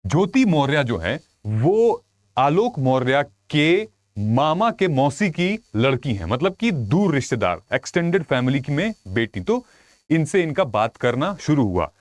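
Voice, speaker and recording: A man's voice: a little high, big pitch swings, a little slow, dry recording. A man giving an informal explainer to viewers as a coach and host, telling it conversationally with frequent check-in tags. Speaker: UPSC exam coach and video host.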